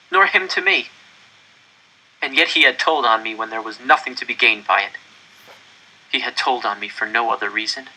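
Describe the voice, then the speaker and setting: A man reading a first-person story aloud in an expressive, deliberate, dramatic way. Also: mid-range voice, slightly rough, rough recording. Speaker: audiobook reader.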